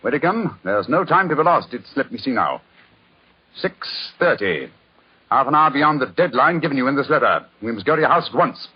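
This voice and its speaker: Casting: courteous English gentleman sleuth, theatrical and highly animated, on dull lo-fi old-time radio. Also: moderate pace, husky. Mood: intent, urgent. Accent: British. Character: brisk, courteous male English gentleman detective. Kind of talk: 1940s radio drama acting. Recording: dull, lo-fi 1940s radio broadcast.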